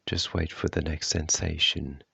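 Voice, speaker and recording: Male meditation guide: extremely low, gravelly voice, measured delivery, long pauses between soft instructions. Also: fairly even intonation, dry recording. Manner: gentle, unhurried, measured phrasing.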